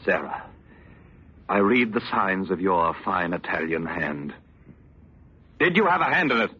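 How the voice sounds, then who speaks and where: An actor playing a sardonic, menacing crippled politician in a 1940s radio drama, mockingly polite and theatrical. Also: male voice, low-pitched, big pitch swings, unhurried, slightly rough, exaggerated voice. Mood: menacing glee. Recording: muffled, noisy old radio recording.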